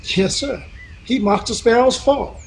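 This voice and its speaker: Actor as a devout, high-voiced humble cowhand. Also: male voice, unhurried, creaky, a little hiss or hum, exaggerated voice. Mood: solemn, sorrowful. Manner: deferential, folksy. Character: devout, sorrowful, humble cowhand haunted by lynching. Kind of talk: old-time radio western acting.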